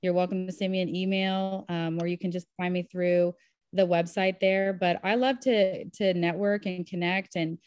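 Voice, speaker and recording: A woman's voice: lowish voice, fairly even intonation, normal speed, a little mellow, clear, resonant tone, dry recording. A woman physician appearing as a podcast guest. She speaks in an upbeat, enthusiastic, conversational way. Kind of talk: casual interview talk. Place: home in Nashville.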